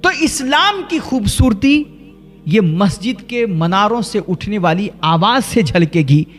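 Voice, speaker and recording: A man from India preaches in an earnest, emphatic way, leaning on rhetorical questions and deliberate repetition. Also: high-pitched, animated, soft background music. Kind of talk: rhetorical religious sermon.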